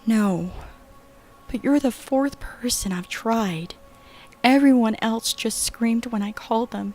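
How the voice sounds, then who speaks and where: A man's voice: very high voice, slow pace, darkish tone, pitched above the performer's own voice, full character voice. A man voicing a frightened young woman who pleads in a frantic, breathless way, with faint music behind. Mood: panicked.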